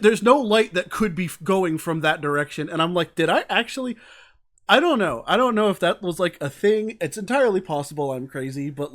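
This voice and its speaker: A man's voice: sits high, fairly bright tone. A man hosting an interview over a video call, casual and joking, with wry self-deprecating asides. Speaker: interview host. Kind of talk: casual chat.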